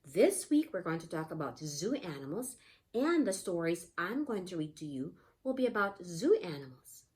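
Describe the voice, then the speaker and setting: A woman's voice: fairly low pitch, sing-song, moderate pace, a little mellow. A woman teaching young children in a read-aloud lesson, explaining simply and prompting with repeated questions. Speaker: pre-K teacher.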